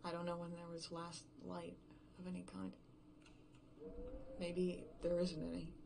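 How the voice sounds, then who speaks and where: A woman acting an exhausted, frightened survivor in a horror audio drama monologue, haltingly thinking aloud and repeating herself. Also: lowish voice, monotone, gravelly, noisy background. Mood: defeated. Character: exhausted, frightened woman game writer, alone after everyone vanished.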